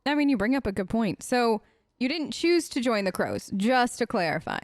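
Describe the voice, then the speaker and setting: A woman's voice: fairly high pitch, sing-song, normal speed, no echo. A woman hosting a role-play let's play, improvising her character's side of the dialogue in a chatty, conversational way. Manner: chatty, conversational, reacts with questions.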